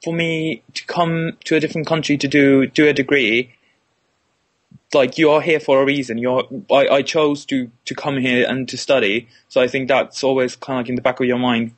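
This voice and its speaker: A man's voice: mid-range voice, little pitch movement, moderate pace, breathy edge. A young man in his twenties from Finland, speaking with a Finnish accent as a non-native speaker, giving hesitant interview answers full of restarts and fillers. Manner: hesitant, repeats words, many "kinda like" fillers. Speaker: illustration student, interviewee.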